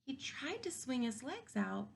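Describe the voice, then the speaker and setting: Woman, teacher, picture-book read-aloud, unhurried pace, noticeable vocal fry, questioning and thinking aloud for small children. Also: high voice, very animated, a little hiss or hum.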